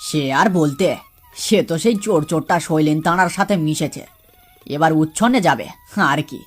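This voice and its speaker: Woman acting a low-voiced young village grandson. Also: animated, moderate pace, soft background music. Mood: exasperated. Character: caring, plain-spoken young village grandson. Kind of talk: Bengali cartoon story acting.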